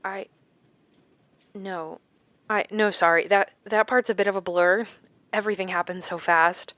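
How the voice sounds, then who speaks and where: An actress playing a shaken woman recounting her captivity in a horror audio drama, halting and dramatic with deliberate pauses. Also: mid-range voice, steady tone, fairly bright tone, no echo, close to an everyday voice. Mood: shaken, unsure. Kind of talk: first-person horror audio drama narration.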